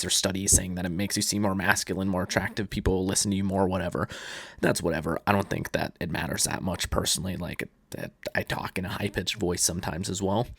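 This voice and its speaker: A young man giving casual advice to camera in a relaxed, chill way, slipping between higher and deeper pitches as he talks. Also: gravelly, dry recording. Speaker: self-improvement vlogger.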